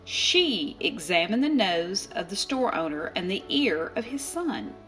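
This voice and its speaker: Female storybook reader, unhurried and expressive, medium pitch, dramatic pauses, faint music underneath. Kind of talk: expressive children's read-aloud narration.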